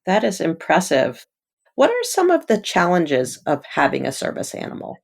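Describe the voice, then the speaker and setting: A woman's voice: lowish voice, wildly swooping pitch, moderate pace, creaky, slightly rough. A woman asking prepared questions as an interviewer. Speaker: interviewer, medical journal editor.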